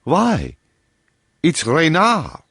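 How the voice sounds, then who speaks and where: A man voicing an excitable older male colonel who exclaims with a catch in his voice. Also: on the high side, takes it slowly, husky, no echo, studio quality, voice pushed up, heavily acted. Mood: startled.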